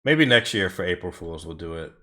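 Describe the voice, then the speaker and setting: A man with a slightly low voice chats loosely over his own gameplay. His talk is slangy, relaxed and playful, like a streamer talking to viewers. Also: a little fast. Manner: laid-back, slangy, joking. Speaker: streamer playing a racing game. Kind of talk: casual gameplay commentary.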